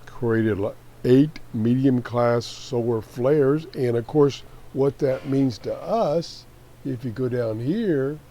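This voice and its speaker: A man hosting a hobby video with casual, unscripted commentary in a folksy, halting way. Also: mid-range voice, warm tone. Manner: folksy, exclaiming, halting while browsing. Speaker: radio hobbyist video host.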